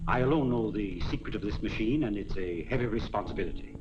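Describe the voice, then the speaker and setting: An actor playing a courteous, earnest inventor explaining formally in a 1940s serial. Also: male voice, on the low side, quick pace, loud music behind the voice, close to an everyday voice. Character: courteous, earnest inventor demonstrating his secret machine. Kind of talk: 1940s film serial acting. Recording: dull lo-fi 1940s film soundtrack.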